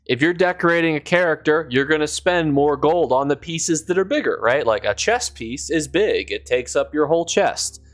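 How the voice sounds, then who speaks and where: A man's voice: a little high, lively intonation, normal speed, hardly any pauses. A man losing his voice from a cold, chatting casually on a live stream while he plays and reads out chat, rambling as he thinks aloud.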